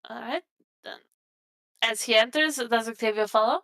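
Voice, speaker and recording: Clear, higher-voiced woman at a roleplay game. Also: normal speed, bright, forceful tone. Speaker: player in a tabletop roleplaying game. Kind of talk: casual roleplay, describing actions in first person.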